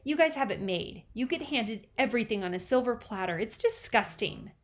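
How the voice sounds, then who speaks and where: A woman's voice: on the high side, lively intonation, moderate pace, close to an everyday voice. A woman voicing a jealous, sulky teenage girl who talks in a pouty way. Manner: pouty, snorting.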